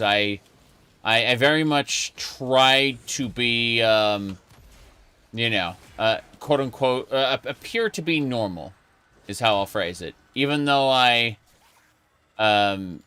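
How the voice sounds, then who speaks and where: A man's voice: medium pitch, pushed and bright, clean tone, no echo. A man streaming a late-night gaming chat, rambling casually with lots of fillers and restarts. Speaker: streamer and video creator.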